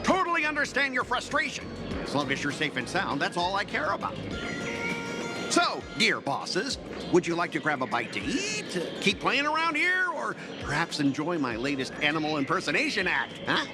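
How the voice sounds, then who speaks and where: Fawning corporate lackey, high voice, wildly swooping, bombastic game dialogue, music behind. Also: male voice, wildly swooping pitch, moderate pace, full character voice. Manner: obsequious, bombastic, exaggerated. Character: fawning, melodramatic male corporate underling. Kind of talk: video game character dialogue.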